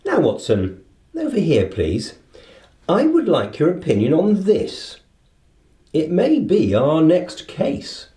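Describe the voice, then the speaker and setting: A man playing an incisive, authoritative gentleman detective in a radio play, precise, formal and dry. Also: fairly high pitch, wildly swooping pitch, takes it slowly, roomy sound, full character voice. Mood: dryly teasing.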